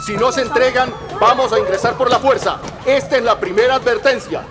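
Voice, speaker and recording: A man's voice: extremely high pitch, brisk, a faint crowd. An actor playing a stern male police officer calling out formal commands in a radio drama. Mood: commanding. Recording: loud scene noise with police sirens.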